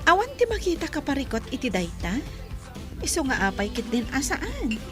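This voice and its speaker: A woman plays a gracious young heiress in a radio comedy. She speaks high and slow, with a voice that rises and falls a lot. Music plays steadily behind her. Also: wildly swooping pitch. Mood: friendly. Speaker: wealthy heiress. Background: background music.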